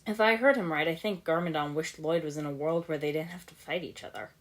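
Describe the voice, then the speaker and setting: A woman's voice: low-pitched, quick pace, lightly acted. A woman voicing a skeptical, thoughtful young male ninja with a questioning manner, in a story reading.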